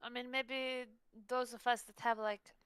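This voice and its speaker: A woman's voice: fairly high pitch, level tone, unhurried, a bit bright, clean tone, no echo. A woman chatting casually as a player in a tabletop roleplaying game stream. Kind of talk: casual in-character game chat.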